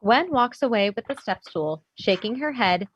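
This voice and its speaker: A woman's voice: on the high side, animated, normal speed, breathy edge. A woman narrating the stage directions of a script at a table read. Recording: dull, slightly lo-fi audio.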